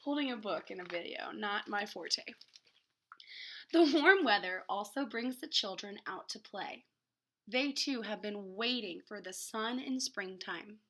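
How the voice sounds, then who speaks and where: A woman's voice: mid-range voice, lively intonation, measured pace, bright, forceful tone, a little hiss or hum, poor audio. A woman reading a picture book aloud to children expressively, with dramatic emphasis and casual, chatty asides. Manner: dramatic emphasis, gasps and exclamations.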